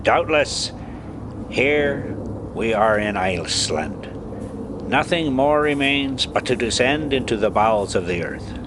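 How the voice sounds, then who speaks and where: A man reads as an eager, single-minded scholarly uncle from an adventure storybook. He declares things emphatically, his pitch swinging in a lively way, with faint noise behind him. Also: takes it slowly. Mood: eager, confident.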